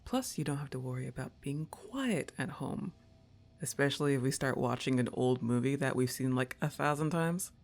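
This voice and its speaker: A woman playing a laid-back, teasing young goth roommate in an intimate ASMR roleplay, talking in an unhurried, conversational way to her crush. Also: a bass voice, lively intonation, a little mellow. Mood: soft, teasing, affectionate.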